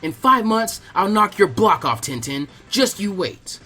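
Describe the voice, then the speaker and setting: A man voicing a brash, stubborn young boy ninja in an animated fan fiction reading, loud, whiny and defiant. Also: high-pitched, a little slow, breathy edge, voice pushed up, exaggerated voice. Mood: defiant shouting. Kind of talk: animated audiobook character acting.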